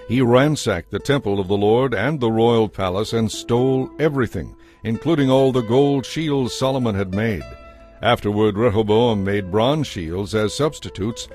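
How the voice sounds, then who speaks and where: Casting: male narrator, mid-pitched, formal measured storytelling for audio scripture, music underneath. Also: darkish tone. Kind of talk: scripted narration of dramatized Bible.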